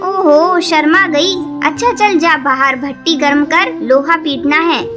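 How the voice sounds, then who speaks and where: A woman's voice: sits high, a little slow. A woman voicing a practical mother bird in a cartoon, chiding yet affectionate. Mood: teasing, scolding. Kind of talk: Hindi cartoon story acting. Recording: loud music under the voice.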